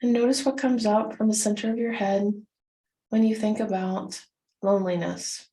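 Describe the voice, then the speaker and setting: A woman leading a guided meditation, gently instructing in short, soothing phrases with unhurried pauses. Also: mid-range voice, steady tone, poor audio.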